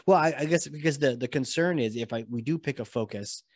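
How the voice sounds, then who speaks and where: A man hosting a marketing podcast interview over a video call with a boom-arm mic, enthusiastic and quick to react. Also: darkish tone, no echo, clean studio sound. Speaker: podcast host. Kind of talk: conversational interview. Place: home office, video call.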